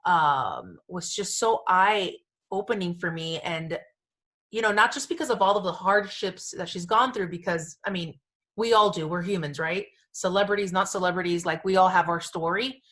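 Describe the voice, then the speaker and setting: A woman's voice: lowish voice, moderate pace, fairly bright tone. A woman hosting a casual pop culture podcast, gushing and emphatic, with informal slang and phrases repeated for emphasis. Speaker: podcast host.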